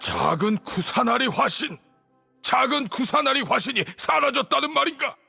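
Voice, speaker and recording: An actor playing an imperious, suspicious older grand sage in a game, with a haughty, commanding manner. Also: male voice, very high-pitched, expressive, moderate pace, vocal fry, bright, forceful tone, soft background music, full character voice. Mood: suspicious, alarmed. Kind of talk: dramatic Korean game voice acting.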